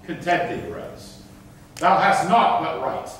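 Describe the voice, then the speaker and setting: A man's voice: fairly high pitch, measured pace, fairly bright tone. A man playing a stern, sanctimonious governor in a staged reading of old verse, declaiming pompously. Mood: cold, official. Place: theatre stage in a hall. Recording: echoey hall, distant mic, faint noise.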